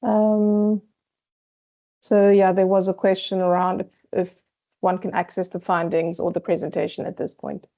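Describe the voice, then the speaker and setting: A woman's voice: mid-range voice, monotone, unhurried, mellow. A woman moderating an online Q&A, relaying chat questions hesitantly with many fillers. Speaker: meeting moderator. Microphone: video call. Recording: dull lo-fi call audio.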